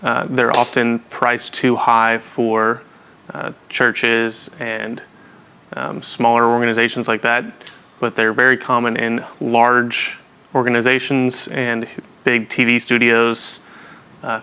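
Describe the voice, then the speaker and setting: Flat-toned man explaining, bright clear voice. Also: medium pitch, slow. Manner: casual, plain-spoken explaining with everyday examples. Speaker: presenter of a technical training session. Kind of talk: informal technical lecture, explaining.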